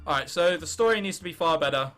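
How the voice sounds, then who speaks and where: A man's voice: on the high side, expressive, fast, a bit bright, faint music behind. A young man of about nineteen with an English accent, giving casual, jokey gaming commentary and reading game text aloud. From England. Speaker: gaming video host.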